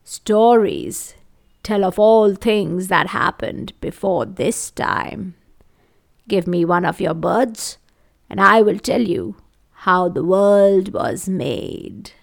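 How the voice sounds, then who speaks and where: A woman voicing an ancient, wise grandfather stone in a storytelling tale, with an old rumbling voice and slow, solemn delivery. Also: lively intonation, creaky. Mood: wise, instructing.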